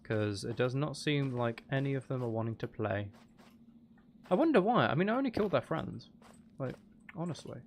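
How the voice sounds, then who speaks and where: A man's voice: medium pitch, very animated, normal speed. Man thinking aloud over gameplay, noisy background. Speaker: gaming video host. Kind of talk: casual gameplay commentary, talking to self.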